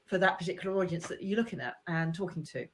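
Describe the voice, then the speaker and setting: Measured woman in a podcast chat. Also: lowish voice, slight background noise. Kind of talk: casual podcast discussion.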